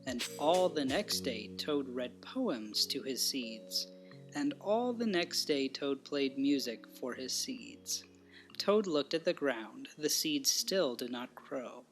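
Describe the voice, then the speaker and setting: Bedtime story reader for little kids, slow, really varied pitch, music behind. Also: expressive, a bit bright. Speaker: storybook narrator. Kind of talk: scripted children's bedtime story reading.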